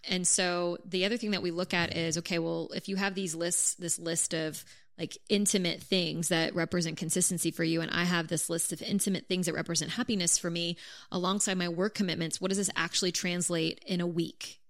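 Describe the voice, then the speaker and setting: Woman with a lowish voice, earnest podcast talk. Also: little pitch movement, a little fast. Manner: earnest, practical, lightly teasing, swears casually. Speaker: podcast co-host. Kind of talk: casual couple's podcast chat.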